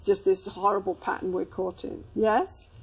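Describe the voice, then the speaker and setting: Female therapist, husky tone, conversational and guiding, often checks in with questions. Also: mid-range voice, steady tone, moderate pace. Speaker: couples therapist.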